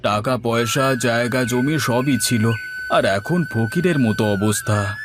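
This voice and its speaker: Man plays a careworn cartoon villager, middle-aged family man, plaintive, mid-pitched, music underneath. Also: huge pitch swings, slow pace. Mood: worried. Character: hard-up, worried middle-aged village father and husband. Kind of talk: cartoon moral-story dialogue.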